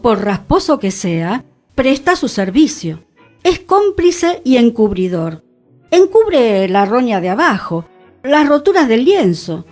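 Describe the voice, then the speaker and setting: A woman with a Buenos Aires accent giving an expressive literary reading, theatrical and wry, with dramatic pauses. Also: sits high. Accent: Argentine, Buenos Aires. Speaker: reader.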